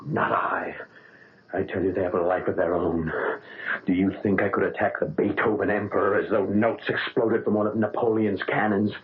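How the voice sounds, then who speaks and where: An actor playing a tormented concert pianist, anguished, bitter and intense, in a 1970s radio drama. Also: male voice, on the low side, lightly acted. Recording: tinny old radio broadcast with faint hiss.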